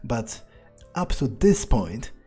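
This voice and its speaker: A man voicing a weary, threatening vampire as in-character video game roleplay, dry and deliberate in manner, with a breathy voice. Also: medium pitch, very animated, mellow, soft background music, full character voice. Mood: scornful brooding. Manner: deliberate, dry, menacing, swears. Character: brooding, threatening male vampire, weary and impatient.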